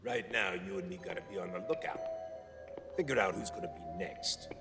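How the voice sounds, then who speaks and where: An actor voicing a tough, wisecracking ventriloquist dummy in a TV show, gravelly, brash and streetwise. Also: male voice, sits low, a little fast. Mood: urgent. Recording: TV clip, music behind.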